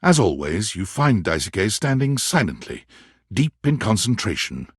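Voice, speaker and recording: An actor playing a wry, dramatic male storyteller, narrating a romance game in a theatrical, expressive way. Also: on the low side, measured pace, slightly rough, no room sound, clean studio sound, heavily acted. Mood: grave, wry. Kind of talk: scripted second-person narration for a dating game.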